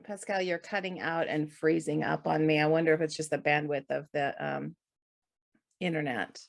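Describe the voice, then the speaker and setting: A woman hosting a casual artist interview, friendly and chatty, answering her guest with quick agreeing replies. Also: low-pitched, fairly even intonation, gravelly. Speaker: interview host.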